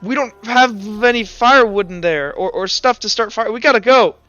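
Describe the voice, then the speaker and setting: A man's voice: high voice, moderate pace, pushed and bright, no room sound, dull audio, voice pushed up. A man voicing an impatient, blunt teenage boy adventurer with an urgent manner in a tabletop game.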